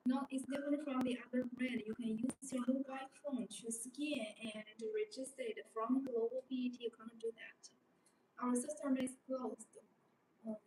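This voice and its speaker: High-voiced non-native woman presenting, roomy sound. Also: a little mellow. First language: not English. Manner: simple, choppy non-native phrasing. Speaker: live-stream sales presenter. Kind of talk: live product demonstration, casual sales talk. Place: small showroom studio set, indoors. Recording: roomy, distant mic with background noise.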